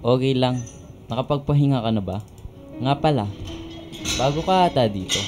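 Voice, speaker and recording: An actor plays an encouraging male gym-goer in a Filipino radio drama. His voice is mid-range and friendly, with clear music behind it. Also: medium pitch, lively intonation, moderate pace, natural voice. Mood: friendly, curious.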